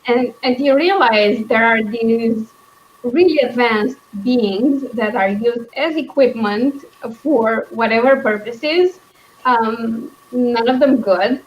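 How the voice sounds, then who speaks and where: A woman in a casual book discussion, thinking aloud in a hesitant, halting way as she hosts. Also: fairly high pitch, muffled recording, slight background noise. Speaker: book club host.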